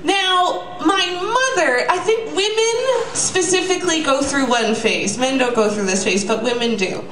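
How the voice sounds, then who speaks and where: A woman's voice: high voice, unhurried. A young woman telling a comic monologue to a live audience, in a sardonic, emphatic, casual manner. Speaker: comedic storyteller at a live reading. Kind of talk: stand-up style comic monologue. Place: small live venue, bistro. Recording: echoey venue with audience, distant mic. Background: audience crowd.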